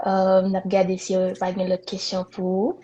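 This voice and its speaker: A young woman of about twenty hosts a live interview and puts her questions hesitantly, stopping on fillers. Her voice is mellow and warm at a middle pitch. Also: completely flat delivery, normal speed, muffled recording. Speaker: host.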